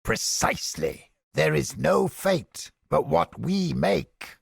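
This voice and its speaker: Gravelly, theatrical cynic inquisitor. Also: male voice, fairly high pitch, very animated, no echo, studio quality, exaggerated voice. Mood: wry. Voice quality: gravelly, husky, breathy edge. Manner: theatrical, deliberate, sardonic, formal archaic diction. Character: cynical, theatrical male inquisitor scholar, sardonic and smugly godless. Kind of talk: theatrical video game character acting.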